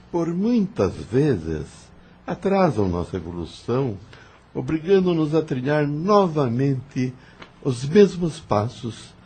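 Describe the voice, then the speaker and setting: A man playing a calm, patient spirit mentor in an audio novel, speaking in a solemn, formal, instructive manner. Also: mid-range voice, husky.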